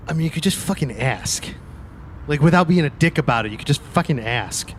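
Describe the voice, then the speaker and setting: A man's voice: a little high, lively intonation, fairly quick, pitched above the performer's own voice, natural voice. A man acts out a sullen young man with a grudge in a tabletop game. He talks bluntly and swears freely, over slight background noise. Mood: exasperated. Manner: gruff, blunt, profane.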